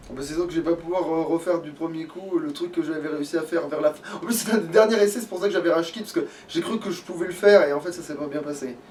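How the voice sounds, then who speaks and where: A man's voice: fairly high pitch, fast talker, few pauses, darkish tone. A man doing casual let's play commentary as he plays, grumbling and swearing freely. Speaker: let's play streamer playing the game. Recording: distant mic with background noise.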